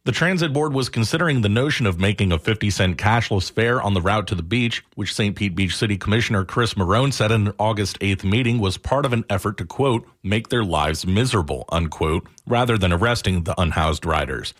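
A male radio reporter with a fairly low voice. He reads scripted news copy in the style of a local news package. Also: lively intonation, a little fast, few pauses, fairly bright tone.

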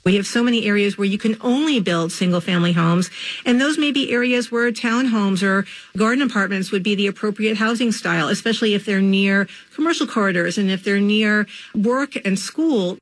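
A woman's voice: medium pitch, a little fast, no room sound. A woman speaking as an academic expert, explaining in an interview. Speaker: university academic, expert guest.

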